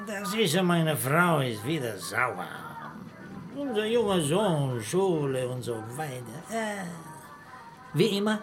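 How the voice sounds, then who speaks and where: An actor in a radio drama playing a halting foreign kiosk keeper who speaks in broken sentences. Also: male voice, a little high, sing-song, over music. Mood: hesitant, mumbling.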